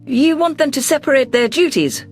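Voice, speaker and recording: A man voicing an indignant, combative alien political aide with a sharp, accusatory delivery in a sci-fi audio drama. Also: extremely high pitch, moderate pace, faint music behind. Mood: sceptical. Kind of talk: audio drama character reading.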